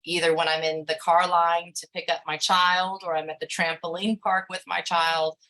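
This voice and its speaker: A woman speaks on a video call as a panelist, her voice bright and forward while sitting a little low in pitch. She talks in a halting way, filling gaps with frequent ums and uhs. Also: little pitch movement. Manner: hesitant, many fillers. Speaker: grant writer, panelist.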